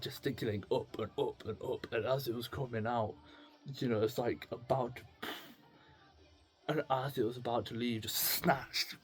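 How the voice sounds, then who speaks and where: A man's voice: mid-range voice, darkish tone. A man in his late teens talking casually and confessionally to camera, rambling and stammering as he thinks aloud. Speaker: video blogger.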